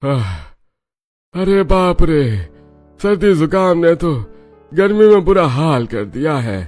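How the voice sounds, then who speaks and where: A man's voice: fairly high pitch, very animated, slow, darkish tone, soft background music. A man voicing an affectionate, proud elderly father-in-law in a cartoon story. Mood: groaning, unwell. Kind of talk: Hindi moral cartoon story dialogue.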